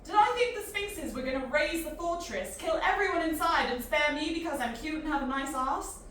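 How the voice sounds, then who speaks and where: Actress as mouthy young woman, high pitched, exasperated, sci-fi audio play, really echoey and off mic. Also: brisk, fairly bright tone, recorded from a distance. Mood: bitter, wry. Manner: blunt, exasperated, questioning. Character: outspoken, frightened young human woman, irreverent. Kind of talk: science fiction audio drama acting. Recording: very echoey, off-mic, faint noise.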